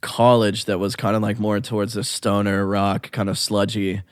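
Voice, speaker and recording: A man's voice: on the low side, little pitch movement, moderate pace, clean tone, dry recording, clean studio sound. A young man guesting on a podcast, talking in a loose, rambling way with lots of likes and ums. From United States. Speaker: guest musician. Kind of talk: casual interview chat.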